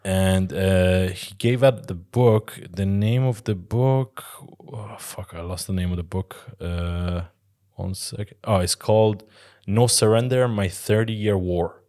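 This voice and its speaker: A man with a slightly low voice tells stories on a casual podcast. He rambles and restarts, swears freely and laughs often as he talks. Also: slow, warm tone. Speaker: podcast co-host. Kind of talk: casual chat, telling stories.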